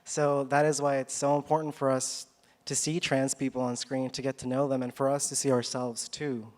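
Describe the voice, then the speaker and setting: An earnest man from Chile stands up to pitch his film. He speaks at a medium pitch and in a personal, heartfelt way, as in a live speech to a panel. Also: little pitch movement, normal speed.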